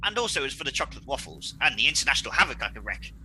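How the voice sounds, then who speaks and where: Theatrical male villain, piercingly bright high voice, swooping delivery, pompous, petulant, comedy radio drama. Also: big pitch swings, racing, breathy edge, exaggerated voice, low-quality recording. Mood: gleefully defiant. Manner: melodramatic, grandly pompous. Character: flamboyant, egotistical comic villain, theatrical and petulant. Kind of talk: comedy radio play acting.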